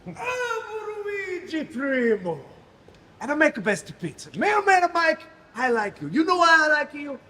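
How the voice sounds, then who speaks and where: A man's voice: very high voice, huge pitch swings, measured pace, bright, forceful tone, slight background noise, full character voice. A man playing a hammy, boastful pizza-maker wrestler in a promo.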